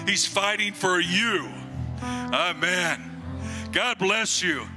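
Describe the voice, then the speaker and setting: An older man in his sixties from the United States, a guest pastor with a booming voice, preaching and praying slowly into a handheld microphone on a church stage. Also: animated. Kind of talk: sermon and prayer. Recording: long hall reverb, faint music behind.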